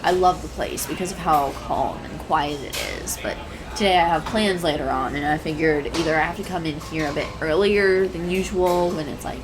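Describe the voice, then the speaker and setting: A woman's voice: sits low, moderate pace, over music, close to an everyday voice. A woman playing a shy, adorkable young writer in an audio roleplay, speaking hesitantly with stammers, nervous giggles and apologetic phrasing. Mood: chatty, self-conscious. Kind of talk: intimate one-sided audio roleplay acting. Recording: clear background noise, cafe ambience effects. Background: coffee shop sound effects.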